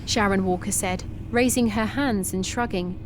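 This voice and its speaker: A woman's voice: mid-range voice, moderate pace, noisy background. Woman narrating a sci-fi story. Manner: steady, descriptive reading.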